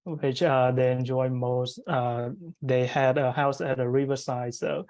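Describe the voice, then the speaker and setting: A young man from Cambodia speaks earnestly over a video call, often pausing and restarting his sentences. His voice is mid-pitched and the sound is dry and close, with no room in it. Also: steady tone, a little mellow, dry recording. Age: young adult. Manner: earnest, halting, many fillers and restarts. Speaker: guest. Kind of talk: casual interview conversation.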